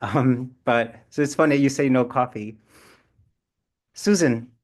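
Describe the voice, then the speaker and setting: A man's voice: medium pitch, a little slow. A man leading an online seminar discussion, chatty and playful, reacting to participants. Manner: chatty, animated, playful asides. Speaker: seminar presenter.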